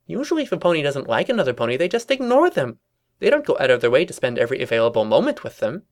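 A performer voicing a bubbly, excitable young female cartoon pony with gushing, exaggerated chatter in a story reading. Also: mid-range voice, racing, voice pushed up. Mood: puzzled, chatty.

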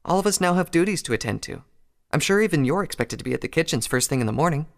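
A man voicing a worried, dutiful young female unicorn mage with an earnest, measured manner. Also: on the high side, lively intonation, gravelly, pitched above the performer's own voice. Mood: explaining.

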